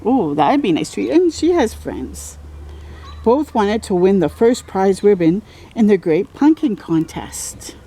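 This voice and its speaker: Slow, swooping woman reading to children. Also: medium pitch, very animated, mellow, husky. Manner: exclaims and comments encouragingly, drawing out key words. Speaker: children's read-aloud storyteller. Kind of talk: expressive picture-book reading with friendly asides to young listeners.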